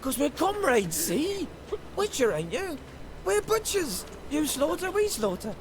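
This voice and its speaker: A rowdy male butcher thug from a fantasy video game. He is cocky and needling, very high and swooping in pitch, over clear background noise. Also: big pitch swings, unhurried, heavily acted.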